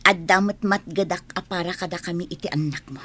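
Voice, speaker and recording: A woman's voice: fairly low pitch, fairly even intonation, brisk, a bit bright. An actress playing a worried, long-suffering wife and mother in an Ilocano radio drama, earnest and emotional, with sighs. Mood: firm, pleading.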